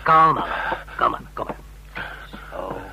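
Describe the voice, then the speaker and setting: An actor playing a fussy but easygoing train conductor in a radio drama. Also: male voice, low voice, wildly swooping pitch, slow pace, vocal fry, muffled recording, heavily acted. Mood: concerned, coaxing. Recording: faintly noisy old radio recording.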